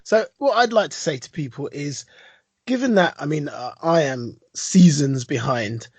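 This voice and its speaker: Man, high lively voice, slightly husky, podcast co-host, dry close sound. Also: moderate pace, no echo. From London. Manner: expressive, acts out little scenes in his stories. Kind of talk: casual chat.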